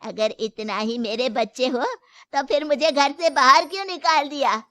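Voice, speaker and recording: A woman playing a shrewd, firm older mother-in-law who runs a shop, in a Hindi moral story, with a deliberate manner. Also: sits high, fairly bright tone, no room sound, clean studio sound. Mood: stern scolding.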